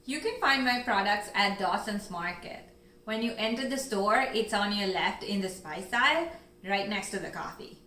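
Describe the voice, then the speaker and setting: A woman from Sri Lanka talks about her spice business in earnest, measured answers, now and then pausing on an "uh" or "um". Her voice sits at an ordinary pitch for a woman. It is recorded in a room with a noticeable echo. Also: expressive, sharp tone, slight background noise. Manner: earnest, measured explanations with occasional fillers. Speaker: spice company founder. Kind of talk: scripted-sounding interview answers.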